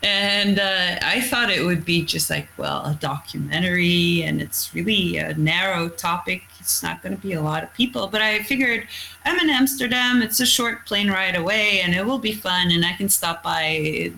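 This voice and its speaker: A woman's voice: lowish voice, normal speed, vocal fry, sharp tone, slight background noise, rough recording. A Norwegian woman, a coastal fisherman, speaking English as a second language, telling stories candidly in a casual interview. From Norway. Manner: candid, storytelling, blunt with mild cursing.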